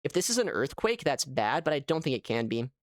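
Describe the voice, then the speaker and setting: A man giving casual live gameplay commentary as a streamer, thinking aloud in an animated way. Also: medium pitch, brisk, no echo, studio quality. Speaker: gaming streamer.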